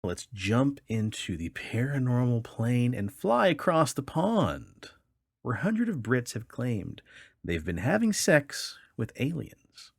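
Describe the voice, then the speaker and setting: A man's voice: medium pitch, big pitch swings, slow pace, creaky, husky, no echo, clean studio sound. A man co-hosting a podcast, reading odd news stories aloud with casual, wry, joking commentary. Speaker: podcast co-host.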